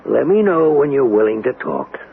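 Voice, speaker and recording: An actor playing a hard, skeptical interrogator in a radio drama, speaking in an insistent, sardonic, clipped way. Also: male voice, mid-range voice, expressive, normal speed, vocal fry. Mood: accusing, insistent. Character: hard, skeptical interrogator pressing a murder suspect. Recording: noisy, narrow-band vintage radio recording.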